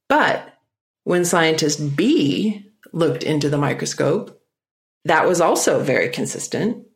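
A speaker giving a reflective personal talk, musing aloud and often slowing down to stress key ideas. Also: mid-range voice, creaky. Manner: musing, with frequent "you know" fillers.

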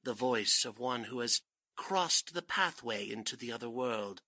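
An actor playing a suave, grandiose fake spiritualist cult leader in a 1940s-style radio drama, speaking formally and theatrically. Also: male voice, mid-range voice, animated, measured pace, pushed and bright, dry recording. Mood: solemn, mystical.

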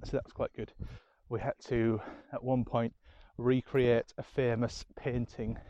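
Chatty Yorkshire man, mellow lo-fi. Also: medium pitch, level tone, unhurried, dry recording. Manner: chatty, self-deprecating, many ums. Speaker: diary podcast host. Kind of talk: casual rambling chat. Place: home.

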